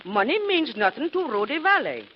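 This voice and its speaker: A man playing a brash, blunt, seagoing old tugboat woman in a 1940s radio comedy, rough and wisecracking. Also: extremely high pitch, sing-song, a little fast. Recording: dull old radio recording, faint hiss.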